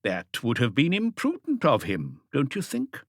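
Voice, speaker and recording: A man's voice: medium pitch, huge pitch swings, a little slow, dry recording, studio quality, voice pushed up, full character voice. A man voicing a wealthy, guarded and haughty Dutch art collector in an audiobook, polite but defensive. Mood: measured. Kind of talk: audiobook character dialogue.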